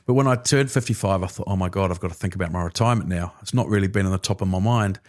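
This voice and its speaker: Man, fifties, New Zealand accent, lowish voice, relaxed chatty guest. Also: rapid-fire. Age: 50s. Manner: relaxed, chatty, frequent filler agreements. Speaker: financial services guest. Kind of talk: casual interview chat.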